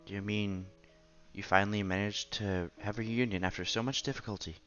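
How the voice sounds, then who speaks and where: Guy with a lowish voice reading a visual novel out loud, bit lo-fi, music playing behind him. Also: steady tone, a little fast. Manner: stumbles over words and rereads them. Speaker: visual novel reader, channel host. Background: background music.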